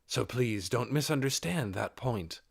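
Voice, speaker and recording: A man voicing a calm, sincere adult male lizardfolk warrior in an audiobook, speaking steadily. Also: medium pitch, a little slow, vocal fry, husky, no echo, studio quality, exaggerated voice. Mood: earnest, reassuring. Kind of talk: audiobook character acting.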